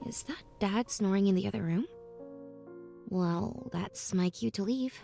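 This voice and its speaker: A woman playing a thoughtful, wistful young student leaving home, narrating a game's story in a gentle, reflective storybook style. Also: medium pitch, measured pace, creaky. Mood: hopeful, resolute. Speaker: narrator and protagonist of a puzzle adventure game. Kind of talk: scripted first-person storybook narration. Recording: music behind the voice. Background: background music.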